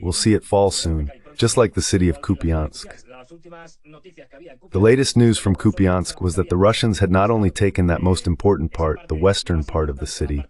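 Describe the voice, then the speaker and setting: A man's voice: low-pitched, moderate pace, darkish tone. A man giving explanatory, conversational commentary on a war as a guest analyst in a dubbed live talk.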